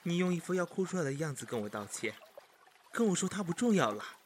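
A man playing a haughty, jealous young gentleman of ancient China in a period audio drama, with mocking, theatrical emphasis. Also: a little high, big pitch swings, fast, a little hiss or hum. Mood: exasperated, scornful.

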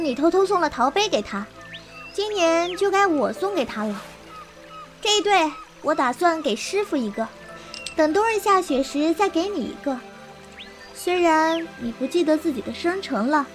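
Pouty, impulsive girl disciple, very high childlike register, wuxia audio drama with music underneath. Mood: willful, eager. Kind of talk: Chinese wuxia radio drama acting.

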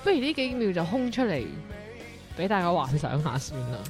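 A woman's voice: lowish voice, very animated, a little fast, over music. A woman with a Cantonese accent talking casually during a studio recording session.

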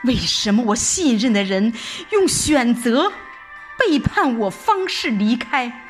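A woman's voice: on the high side, very animated, slow, faint music behind. A woman giving an emotive, dramatic first-person narration of a scripted story, like an audiobook reader. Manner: emotive, theatrical delivery.

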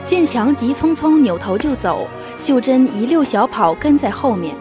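High-voiced young female drama narrator. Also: animated, normal speed. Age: young adult. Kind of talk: scripted storytelling narration. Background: music.

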